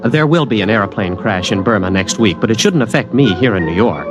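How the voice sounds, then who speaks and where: A man's voice: fairly low pitch, animated, brisk. Wry young man narrating over music. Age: young adult. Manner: wry, sardonic first-person narration. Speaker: narrator and lead character, a student. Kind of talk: 1950s radio drama acting. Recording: old radio broadcast with music under.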